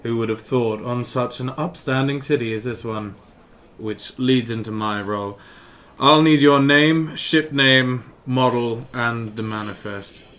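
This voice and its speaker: A man's voice: mid-range voice, measured pace, fairly bright tone, lightly acted. A man playing an officious, touchy young Imperial lieutenant in a sci-fi audio drama, clipped and pompous. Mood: sneering, sarcastic.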